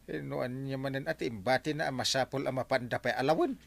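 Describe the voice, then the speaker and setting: An actor with an Ilocano accent playing a boastful, jolly village captain in a radio comedy drama, exclaiming and chuckling. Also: male voice, medium pitch, fast talker, creaky. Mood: teasing.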